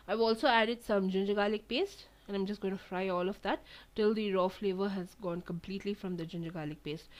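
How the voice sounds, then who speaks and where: A woman, Tamil, talks through a recipe step by step in an easygoing, chatty way. Her pitch sits in an ordinary middle range. She pauses often with little fillers as she cooks. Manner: relaxed, chatty, many fillers. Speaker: cooking channel host. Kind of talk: home cooking tutorial voice-over. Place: home kitchen.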